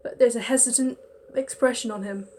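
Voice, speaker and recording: Woman, expressive narration, fairly high pitch, chatty asides. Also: a little fast, slight background noise. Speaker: reader and narrator. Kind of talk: narrating a visual novel with inner monologue.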